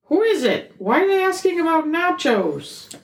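A high, sing-song wife voice calls out from another room. Her pitch leaps and dips as she frets. The room gives it a roomy echo. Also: big pitch swings, normal speed, vocal fry, a little hiss or hum, full character voice. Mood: calling worriedly. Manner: shrill falsetto, calling out from another room. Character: worried young wife calling from the bedroom.

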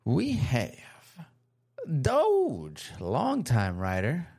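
A man's voice: medium pitch, huge pitch swings, drawn-out words, a little mellow, slightly rough. A man co-hosting a podcast in a casual, upbeat chat, often repeating his phrases. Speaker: podcast co-host.

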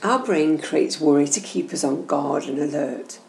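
Mellow, creaky-voiced woman guiding a meditation. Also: low voice. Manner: gentle, measured, instructional. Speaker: guided meditation host. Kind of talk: slow, soothing guided meditation narration. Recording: roomy echo, faint background noise.

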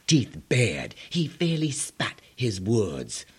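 Very husky menacing fairy-tale villain. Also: male voice, lowish voice, huge pitch swings, takes it slowly, heavily acted. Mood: menacing fury. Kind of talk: theatrical radio drama storytelling.

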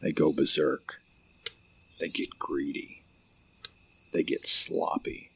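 Horror narrator: man, extremely low gravelly voice, measured and ominous, noticeable background noise. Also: flat delivery. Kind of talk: scripted horror story narration.

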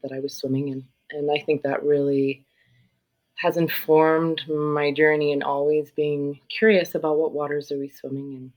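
A middle-aged woman from South Africa, a social worker and yoga facilitator, speaking reflectively as an interview guest with frequent pauses. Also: deep voice, little pitch movement, highs cut off. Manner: thoughtful, searching, many pauses and ums.

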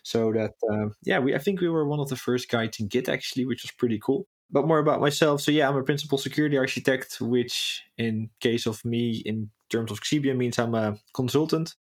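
Relaxed man, midrange voice. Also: medium pitch, fairly quick, hardly any pauses. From Netherlands. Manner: relaxed, with many fillers and self-corrections. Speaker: security consultant guest. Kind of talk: casual interview answers.

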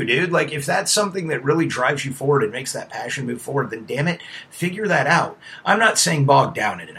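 A man's voice: mid-range voice, pushed and bright. A man in his late thirties hosting a casual motivational monologue, emphatic and talking straight to the listener, sometimes dropping to a near-whisper. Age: 30s. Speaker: host.

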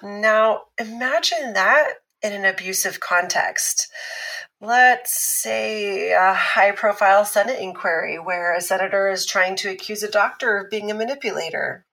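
An actress playing a calm, analytical woman expert who explains patiently and teases a little. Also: mid-range voice, vocal fry, low-quality recording. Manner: measured, explanatory, lightly teasing. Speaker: expert on abuse recovery. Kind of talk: scripted podcast drama dialogue.

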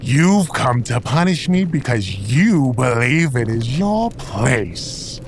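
Man playing a wrathful warlord, swinging pitch. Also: big pitch swings, a little hiss or hum. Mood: bitter suspicion. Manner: menacing, halting, scornful. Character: wrathful, defiant superhuman warlord, bitter and contemptuous. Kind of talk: audio drama acting.

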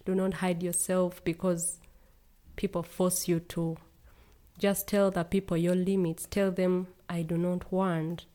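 A woman's voice: on the low side, little pitch movement, unhurried, dark tone. A young woman from Uganda, a guest in a casual conversation, speaking earnestly and laughing easily.

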